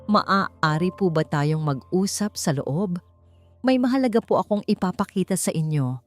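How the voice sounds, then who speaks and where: A woman's voice: on the low side, animated, normal speed, faint music behind, natural voice. A woman narrator voicing a formal, direct male lawyer in a storybook romance. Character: formal, emotionless male lawyer making a business offer. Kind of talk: storybook character dialogue.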